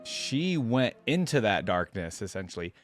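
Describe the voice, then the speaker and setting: Low-voiced man giving casual reaction commentary. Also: sing-song, moderate pace, faint music behind. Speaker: reaction video creator and commentator.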